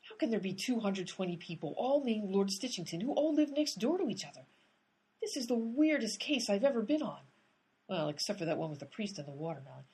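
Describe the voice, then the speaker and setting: A woman's voice: medium pitch, lively intonation, brisk, a little mellow, husky. A woman playing an exasperated, blunt, tough-talking detective in a comedic radio play.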